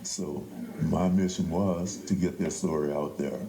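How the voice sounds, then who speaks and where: A man's voice: sits low, fairly even intonation, a little slow, dark tone, slight background noise. An older man, a playwright telling his story conversationally in an interview. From New Orleans, Louisiana. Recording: echoey room with crowd murmur.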